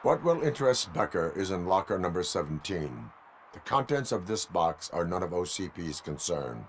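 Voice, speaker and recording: An actor playing a stoic cyborg police officer in a video game, speaking in a deadpan, formal, clipped way. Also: male voice, low-pitched, normal speed, slight background noise.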